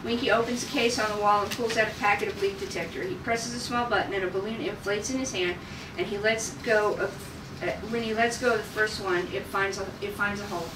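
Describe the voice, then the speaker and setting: A woman's voice: mid-range voice, fairly even intonation, a little fast. A woman reading stage directions aloud as narrator at a table read of a script. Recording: echoey room, distant mic, noisy.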